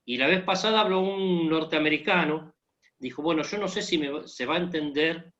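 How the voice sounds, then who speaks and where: Argentine man, guest on a video call, bright slightly high voice, chatty with fillers, dull lo-fi sound. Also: normal speed. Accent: Argentine Spanish. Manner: chatty, joking, many fillers. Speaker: guest speaker. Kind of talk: casual online conversation.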